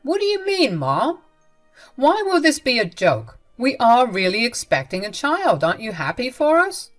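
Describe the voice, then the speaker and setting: A woman's voice: medium pitch, lively intonation, unhurried, a bit bright, faint music behind. A woman voicing a bewildered young husband who pleads with raised questions. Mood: upset.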